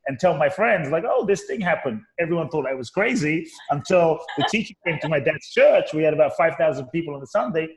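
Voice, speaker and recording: A man's voice: on the high side, expressive, fairly quick, few pauses, rough recording. A man from Ghana, raised in London, a guest author and coach telling his life story earnestly in a talk show interview.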